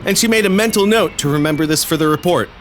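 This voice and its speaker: A man's voice: a little high, fast, noisy background. A man narrating a scripted audio story.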